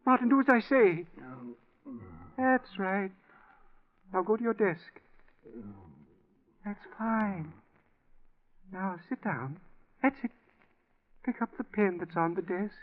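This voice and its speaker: An actor playing an earnest dead old man's spirit in 1940s radio drama style, speaking with solemn, deliberate drama. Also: high voice. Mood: urgent, pleading. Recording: tinny band-limited old radio recording.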